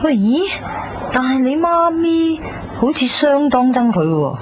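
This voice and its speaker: A woman's voice: high-pitched, very animated, unhurried, slight background noise. A woman with a Cantonese accent playing a brash, flippant young friend in a radio drama, chatty and blunt. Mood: teasing surprise. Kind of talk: 1990s radio drama dialogue. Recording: dull radio recording, loud crowd behind.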